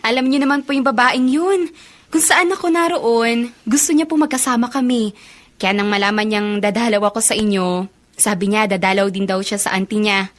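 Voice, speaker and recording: An actress playing a sweet, affectionate young student in a Filipino radio drama, with a Filipino accent. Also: female voice, fairly high pitch, normal speed, natural voice. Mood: cheerful, affectionate.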